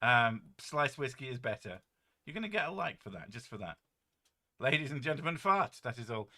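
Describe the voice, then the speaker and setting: A man with a deep, boomy voice hosting a casual stream, joking and rambling with a few curses, his mic set well above his head. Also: very animated, brisk, bright, forceful tone. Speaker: streamer host. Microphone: desk mic set well above his head.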